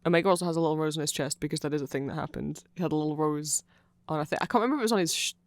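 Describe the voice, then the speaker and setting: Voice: medium pitch, racing, no room sound. A person of unclear sex giving a rambling ASMR explanation of fan lore, often getting flustered, trailing off and correcting themselves with amused enthusiasm.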